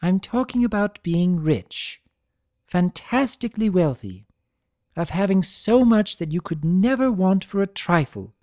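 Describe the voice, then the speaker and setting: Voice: mid-range voice, very animated, a little slow, warm tone, no room sound, clean studio sound, voice pushed up. A performer voicing an elegant, proud and guarded female unicorn pony in a cartoon fan story, with refined, theatrical and precise diction. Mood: wistful.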